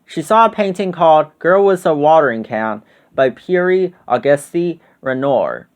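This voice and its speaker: A man reading a children's storybook aloud as scripted, expressive narration. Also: fairly high pitch, measured pace. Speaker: storybook reader.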